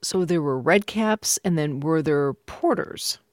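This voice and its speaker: A woman's voice: low-pitched, animated, a little slow, a little mellow, no echo, clean studio sound. A woman hosting a broadcast interview, moving between scripted introductions and questions. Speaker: radio host.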